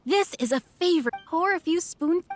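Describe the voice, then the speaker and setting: A high-voiced, lively restaurant server. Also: female voice, normal speed, faint music behind. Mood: courteous. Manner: courteous, presentational. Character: polite young female restaurant server. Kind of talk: anime-style video game acting.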